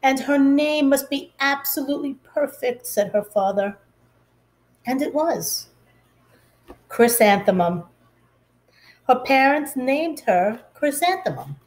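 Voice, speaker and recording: A woman reading a children's story aloud like a teacher, in a deliberate, expressive way. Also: high voice, poor audio.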